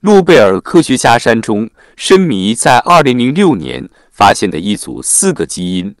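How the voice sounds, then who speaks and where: A man narrating a written article in a formal, measured read-aloud manner. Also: mid-range voice, big pitch swings, no room sound, studio quality.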